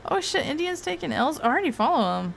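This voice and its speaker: A woman's voice: high-pitched, sing-song, fast, creaky, slight background noise. A woman hosting a casual livestream, rambling and swearing freely, with frequent laughs. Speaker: streamer host.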